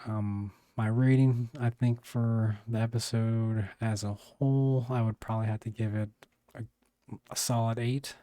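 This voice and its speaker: A man with a hoarse, failing voice reviewing a TV episode in a casual, rambling way, often clearing his throat. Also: mid-range voice, fairly even intonation, unhurried, mellow, no room sound, clean studio sound. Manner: rambling, many fillers and restarts. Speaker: video reviewer.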